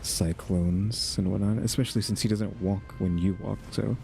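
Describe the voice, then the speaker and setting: A man giving casual, low-key gameplay commentary as a streamer, thinking aloud as he plays. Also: fairly even intonation, moderate pace, vocal fry, mellow, slight background noise. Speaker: gaming streamer.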